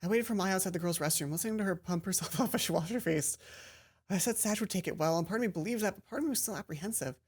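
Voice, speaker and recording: A woman let's play host reading a visual novel aloud in an expressive storytelling way, with casual asides. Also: on the low side, rapid-fire, breathy edge. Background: game music.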